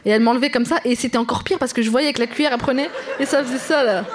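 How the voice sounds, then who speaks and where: A woman's voice: fairly high pitch, very fast, some chatter behind. A woman whose first language is Arabic, chatting casually and telling a childhood story with lots of laughter. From parents from western Algeria. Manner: lively, laughing, animated. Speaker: guest.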